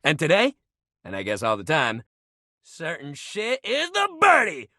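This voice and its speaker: Casting: laid-back young jock coach, a living shuttlecock in a video game. Very lively, swooping intonation, slangy and encouraging, dry close recording. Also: male voice, a little high, measured pace, no room sound, exaggerated voice. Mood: playful, hyped. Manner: slangy, bro-ish, encouraging, swearing casually. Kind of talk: video game character voice acting.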